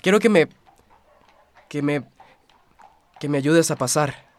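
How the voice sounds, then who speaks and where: A man's voice: fairly high pitch, takes it slowly, no room sound. A young man playing a restless, stubborn youth set on emigrating in a radio drama. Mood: nervous, determined.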